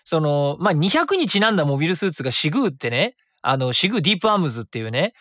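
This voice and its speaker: A man's voice: on the high side, big pitch swings, racing, fairly bright tone, dry recording, studio quality. A man hosting his own web radio show, chatting and reading listener comments in a rambling way with many fillers.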